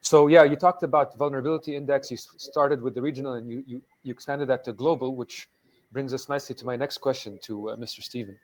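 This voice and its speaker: A man moderating a panel discussion over a video call, putting questions to guests with loose, halting phrasing. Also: mid-range voice, fairly even intonation, poor audio.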